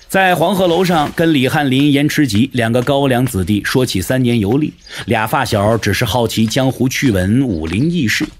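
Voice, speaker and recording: A man's voice: mid-range voice, husky. A man narrating an audio drama from a script in a dramatic storytelling style.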